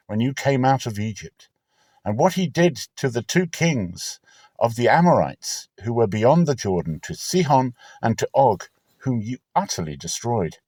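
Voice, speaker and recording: A man's voice: mid-range voice, big pitch swings, normal speed, mellow, no echo, voice pushed up. A man voicing an earnest woman of ancient times who pleads solemnly and formally in a dramatic scripture reading. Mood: earnest pleading.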